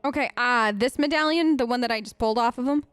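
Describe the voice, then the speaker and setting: Young woman doing a lets play, high voice, playful and chatty, dry close mic. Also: fast talker, fairly bright tone, clear, resonant tone, dry recording. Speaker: let's play host. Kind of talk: casual role-play gameplay commentary.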